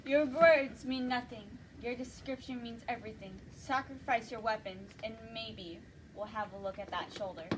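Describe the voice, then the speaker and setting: A woman's voice: a little high, moderate pace, recorded from a distance, background noise, natural voice. A woman reading the part of a stern small-town marshal at a script table read, cold and matter-of-fact.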